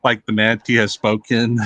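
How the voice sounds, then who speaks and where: Halting, bright-voiced man. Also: lowish voice, level tone, no echo, dull audio. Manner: halting, many fillers and restarts. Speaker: guest, YouTuber. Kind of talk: casual chat.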